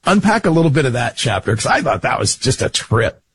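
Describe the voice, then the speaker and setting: A man's voice: mid-range voice, big pitch swings, fairly quick, a bit bright. Eager male host with a faint hiss. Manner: enthusiastic, recapping the guest's stories before asking. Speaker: podcast host. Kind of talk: interview questions.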